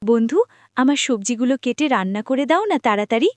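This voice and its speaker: Woman doing sweet obedient cartoon girl, high pitched, polite n pleading, dry close sound. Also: animated, a little fast, dry recording, lightly acted. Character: meek, sweet, hardworking girl, pleading with her stepmother. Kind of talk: cartoon voice acting.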